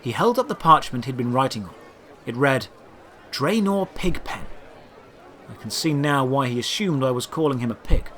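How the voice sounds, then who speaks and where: A man's voice: medium pitch, animated, fairly quick, fairly bright tone, a little hiss or hum. A man narrating a scripted first-person fantasy audio drama in a dramatic storytelling manner.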